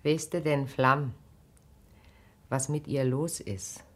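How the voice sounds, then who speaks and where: An actress playing a grave, perceptive, caring wife in a radio drama, speaking earnestly and probingly. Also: female voice, extremely low pitch, fairly even intonation, slow pace, full character voice. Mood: earnest, concerned. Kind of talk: naturalistic German radio drama acting.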